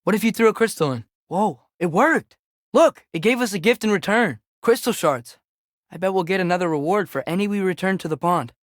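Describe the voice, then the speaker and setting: A man's voice: high-pitched, animated, fairly quick, no echo. Earnest teenage boy game adventurer, dry sound. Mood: excited, wondering. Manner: earnest, inquisitive, natural phrasing. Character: earnest, curious teenage boy adventurer travelling with his father. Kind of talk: video game voice acting, companion dialogue.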